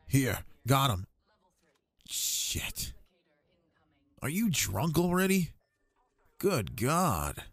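A man's voice: fairly low pitch, no room sound. A young man playing an affectionate, cocky gamer boyfriend in an intimate ASMR roleplay, with slangy, teasing talk.

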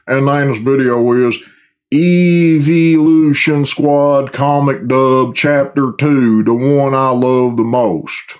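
A man's voice: medium pitch, darkish tone. A middle-aged man giving grumbling, profane, casual commentary as a reaction host in a room at home. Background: cartoon dub with music playing.

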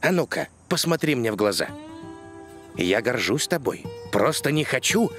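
A man's voice: medium pitch, sing-song, normal speed, fairly bright tone, soft background music. A man playing a stern but caring middle-aged father in a cartoon dub. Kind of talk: animated series dub.

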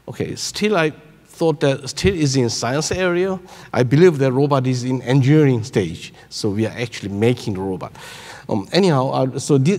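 A man in his sixties with a Korean accent, giving a conference keynote in halting English, searching for words. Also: mid-range voice, darkish tone. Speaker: keynote speaker, robotics researcher. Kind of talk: conference presentation. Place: large conference hall on stage. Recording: echoey hall through PA.

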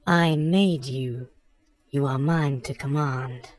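An imperious alien robot queen from a sci-fi film, played by a man, with an artificially feminized voice from a voice changer. The words come very slowly and solemnly, in a bone-dry sound. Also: dry recording. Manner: portentous, deliberate. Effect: male-to-female voice changer, pitched up.

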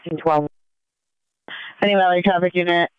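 A woman's voice: fairly low pitch, steady tone, moderate pace, clear, resonant tone, dry recording. A woman dispatching in clipped phrases over a police radio. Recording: dull police scanner audio.

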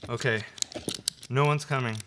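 A man's voice: medium pitch, fairly even intonation, moderate pace, lightly acted. A young man playing a nervous, reluctant outlaw sidekick in a student radio play. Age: young adult. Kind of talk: student live radio play, read from script. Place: classroom studio, indoors. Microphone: stand microphone. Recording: noisy live room recording with clicks.

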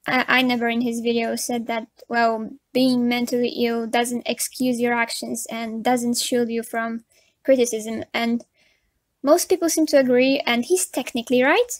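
A woman's voice: on the high side, no echo. Polish woman, frank chatty commentary. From Poland. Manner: frank, chatty, with nervous laughs and many fillers. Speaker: commentary YouTuber. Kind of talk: casual commentary over a drawing video.

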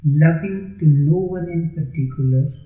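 A man narrating a guided meditation in a measured way, with long pauses between short phrases. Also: on the high side, echoey room, narrow, tinny audio.

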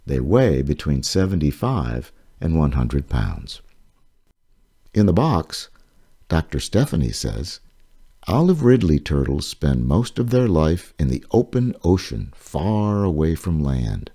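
A man with a husky voice reading a children's nonfiction book aloud in a measured, explanatory way. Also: a bass voice, very animated, mellow.

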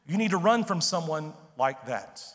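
A man's voice: on the high side, very animated, normal speed, fairly bright tone. A man in his thirties preaching a sermon on a church stage into a handheld mic, emphatic and conversational, often checking in with "okay?" and "right?". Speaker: pastor. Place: large church stage with purple lights. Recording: long hall reverb.

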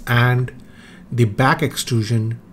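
A man's voice: mid-range voice, little pitch movement, takes it slowly, a bit bright, faint music behind. A man whose first language is Hindi, explaining his own 3D printer tool changer design in a screen-recorded walkthrough, hesitant with many ums and restarts.

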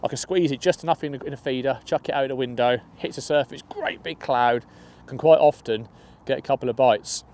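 A man's voice: medium pitch, brisk, darkish tone. Man, young adult, casual upbeat explaining, outdoors by a lake, lapel mic. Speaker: match angler. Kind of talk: fishing tutorial.

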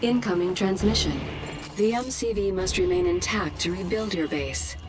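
An actress voicing an impersonal battle computer that gives neutral, clipped announcements in a strategy game. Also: female voice, mid-range voice, moderate pace, lightly acted. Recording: game music and vehicle sounds behind.

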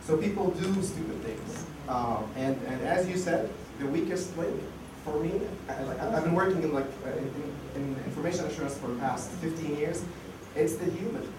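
A man's voice: fairly high pitch, normal speed. Man, losing his voice, casual talk with many restarts, distant mic, noisy background. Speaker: conference speaker. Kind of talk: conference talk. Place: conference hall. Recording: echoey hall, far mic, noisy.

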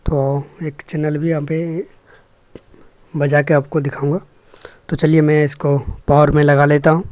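A man's voice: on the high side, level tone, unhurried, a little mellow, no room sound. Young man, dry sound. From Jharkhand, India. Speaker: electronics repair vlogger. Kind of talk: casual hands-on demonstration narration.

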